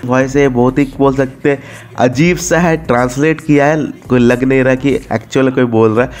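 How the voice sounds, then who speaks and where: A man's voice: medium pitch, moderate pace. A man with an Indian accent giving a casual, informal gaming voice-over as host, mixing in English words and repeating himself. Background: background music.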